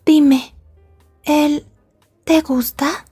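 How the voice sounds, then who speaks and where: A woman playing a possessive, polite young yandere girlfriend in an ASMR roleplay, speaking in a prim, wordy and softly menacing way. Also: sits high, fairly even intonation, extremely slow, faint music behind. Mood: coldly indignant. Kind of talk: Spanish-language ASMR roleplay acting.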